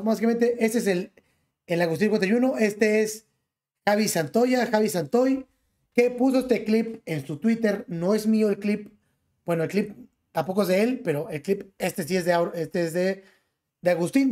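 A man's voice: high-pitched, moderate pace, a little mellow. A young man with a Mexican accent, streaming from his bedroom through a gaming headset and ranting as he reacts to clips, piling slang onto every phrase. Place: small bedroom.

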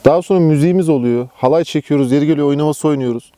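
A man's voice: on the high side, very fast, warm tone, dry recording. A young man explaining his camping group in a casual, earnest interview as its organizer. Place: outdoors at a campsite.

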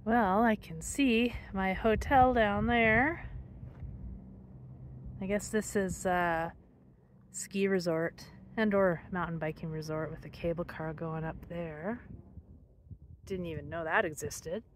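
A woman's voice: on the low side, fairly even intonation, slow pace, pauses often. A middle-aged woman chatting casually and musingly to her phone as she films a hiking vlog outdoors. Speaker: hiking vlogger. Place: outdoors on hillside forest trails. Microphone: handheld phone at arm's length. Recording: outdoor phone audio with background noise.

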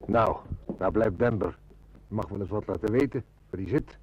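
An actor playing a calm, wry, seasoned police inspector, measured and matter-of-fact, in a radio drama. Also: male voice, lowish voice, highs cut off, noisy background, lightly acted. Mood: focused. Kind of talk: Dutch radio detective drama.

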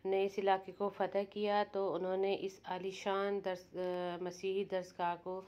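A woman casually narrating a tour of a mosque, pausing often with fillers and restarts. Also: medium pitch, completely flat delivery, a little mellow, clear, resonant tone, highs cut off. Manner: hesitant, frequent "uh" fillers and restarts.

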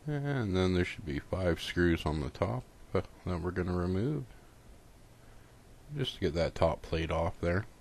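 A man's voice: sits low, lively intonation, unhurried, gravelly, a little mellow, slight background noise. A man casually narrating a step-by-step repair tutorial in an informal, folksy, unscripted way.

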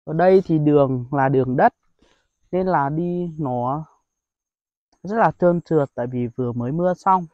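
A man's voice: fairly high pitch, normal speed, long pauses, no room sound, lo-fi sound. A young man, chatting casually to viewers on an outdoor phone vlog, with lots of exclamations. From Vietnam. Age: young adult. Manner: chatty, addresses viewers as brothers, frequent exclamations. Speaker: vlogger. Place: outdoors among rice paddies and hills. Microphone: phone held at arm's length.

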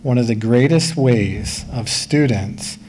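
Middle-aged man, school official: mellow, calm and courteous, table mic, faint room hum. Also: medium pitch, slow pace. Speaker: school official answering the public. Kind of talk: formal reply at a public meeting. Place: large school meeting room. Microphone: table mic close in front.

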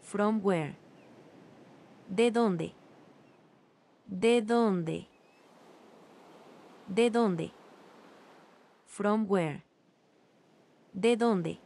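Woman, language drill reader, very slow, measured, mid pitch. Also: creaky, mellow. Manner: reads each phrase slowly with long pauses. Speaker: language teacher. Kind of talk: slow repeated phrase drills.

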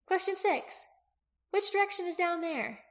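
A woman voicing an excitable, quick-witted young female character in a fantasy audiobook, speaking with emphatic expression. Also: very high voice, voice pushed up, exaggerated voice. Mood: urgent, inquisitive. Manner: exclaiming, emphatic.

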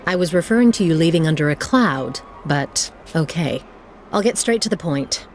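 An actress playing a composed, businesslike city mayor with a measured, authoritative manner in a video game. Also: female voice, deep voice, lively intonation, a little hiss or hum. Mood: composed, grateful. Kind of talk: video game cutscene acting.

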